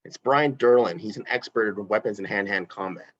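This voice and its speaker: A man's voice: on the low side, brisk, no echo, lightly acted. A man reading casual character lines in an amateur table read, on a dull lo-fi microphone. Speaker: cast reader.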